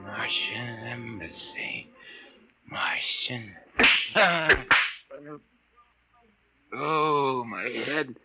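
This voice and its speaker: An actor playing a nervous, hesitant private detective in distress in a 1950s radio drama. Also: male voice, mid-range voice, lively intonation, extremely slow, long pauses, music in the background. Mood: groggy. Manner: hesitant, stammering, breathless pleading. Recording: noisy muffled 1950s radio recording.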